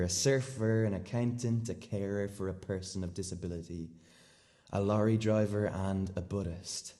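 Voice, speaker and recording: An actor playing a naive, brooding seventeen-year-old boy in a confiding, halting radio play monologue. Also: on the low side, dark tone, natural voice. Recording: long hall-like reverb.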